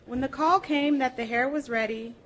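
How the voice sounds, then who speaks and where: A woman's voice: high-pitched, normal speed, fairly bright tone. A woman reading short tales and definitions aloud to an audience at a live library program. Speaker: reader at a public library program.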